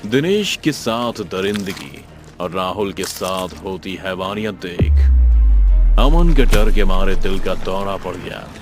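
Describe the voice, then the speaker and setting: A man's voice: deep voice, animated, slow. A man narrating a scripted horror story as a storyteller. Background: music.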